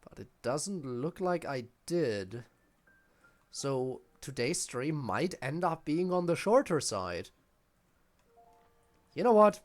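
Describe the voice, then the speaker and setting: A man's voice: a little high, very animated, takes it slowly, long pauses, faint music behind. A man giving casual, wry, informal live commentary as a game streamer.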